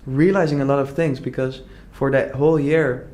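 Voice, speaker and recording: A man's voice: medium pitch, fairly even intonation, moderate pace, a little mellow. A young man from the Netherlands talking casually and earnestly in an interview, full of "like" fillers and restarts. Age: young adult. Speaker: entrepreneur guest.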